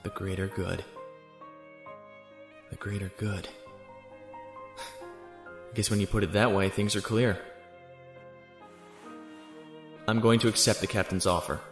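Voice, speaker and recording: Roomy echo. Young male captive in sci-fi audio drama: lowish, troubled, dutiful, hesitant. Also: fairly even intonation, measured pace, pauses often. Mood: grim, resigned. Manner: subdued, hesitant. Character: troubled, dutiful young man held prisoner. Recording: echoing room with faint music.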